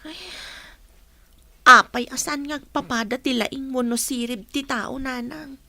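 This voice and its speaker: Radio-play daughter, teens, fretful and unsure, very high voice, dry studio sound. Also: female voice, steady tone, unhurried, pushed and bright, dry recording, full character voice. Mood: discouraged, anxious. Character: teenage daughter, doubtful and anxious about her schooling. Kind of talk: audio drama acting.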